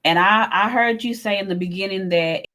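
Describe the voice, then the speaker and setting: A woman co-hosting a casual live-stream talk, gentle and encouraging with many fillers. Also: medium pitch, normal speed. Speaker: co-host.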